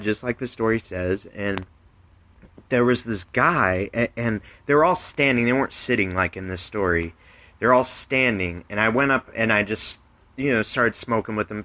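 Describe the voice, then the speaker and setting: Slow-talking man with a bright, forceful voice. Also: lowish voice, no echo. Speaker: writer reading and explaining own work on podcast. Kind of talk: literary reading mixed with casual explanation.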